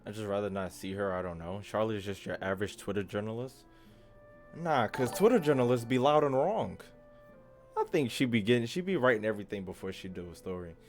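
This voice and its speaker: A man's voice: fairly low pitch, animated, fairly quick, darkish tone, soft background music. A man with an American accent giving casual, slangy, profane and teasing livestream commentary as he plays a game. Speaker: game streamer.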